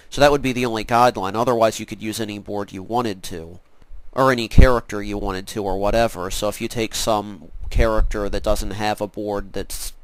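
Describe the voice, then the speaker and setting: Guy with a blocked nose, low smooth voice, dead dry sound, rambling and restarting a lot. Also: no echo, rough recording. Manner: rambling, hesitant, restarts often. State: congested. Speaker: organizer of an online prank call event. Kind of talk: casual spoken explanation.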